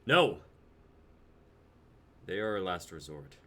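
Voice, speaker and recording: A man's voice: deep voice, very animated, takes it slowly, pushed and bright, exaggerated voice. A man playing a stern, authoritative commander in a dramatic reading of a sci-fi script. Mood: tense. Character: gruff male commander of a sci-fi military coalition.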